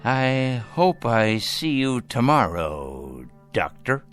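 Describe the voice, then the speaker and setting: Slow-talking, cantankerous dying old man. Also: medium pitch, big pitch swings, a bit bright. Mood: defiant. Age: older. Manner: florid, cutting, deliberate speech. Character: cantankerous dying old male patriarch, wealthy and sardonic. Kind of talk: radio drama acting. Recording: radio drama with background music.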